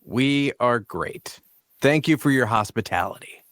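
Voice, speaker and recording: A man's voice: medium pitch, lively intonation, unhurried, a bit bright, dry recording, studio quality. Blunt male adventurer-for-hire played by a man. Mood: blunt, scheming. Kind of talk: audio drama table-read dialogue.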